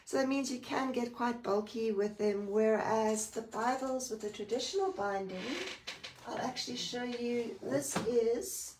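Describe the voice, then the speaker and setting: A woman hosting a live Bible journaling stream, chatty and friendly, greeting viewers by name, a little croaky today. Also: a little high, unhurried, darkish tone. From South Africa. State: slightly croaky. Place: indoors at a craft table. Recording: distant mic, faint room noise.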